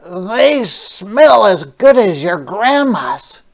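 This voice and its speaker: Storyteller doing an eager, high-pitched little kid. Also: sing-song, very slow, creaky, exaggerated voice. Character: eager, hungry little children admiring cookies.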